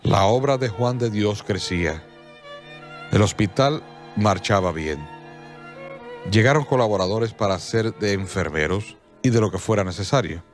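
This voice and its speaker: A man narrating a radio drama in a measured storytelling manner, with soft violin music behind. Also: fairly low pitch.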